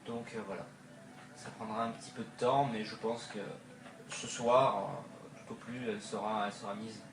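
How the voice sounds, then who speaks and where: A man's voice: on the low side, steady tone, normal speed, far from the mic, background noise. A young man narrating an early gaming commentary video, hesitant and full of fillers, recorded on a phone with no microphone. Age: young adult. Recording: old roomy recording with music.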